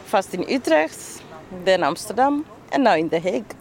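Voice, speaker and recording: A woman's voice: mid-range voice, very animated, normal speed. A woman in her forties with a Kenyan accent, chatting informally as an interview guest outdoors. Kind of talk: casual conversational interview. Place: outdoors on a bench in a busy city square. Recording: clear outdoor traffic and city noise. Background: traffic and city ambience.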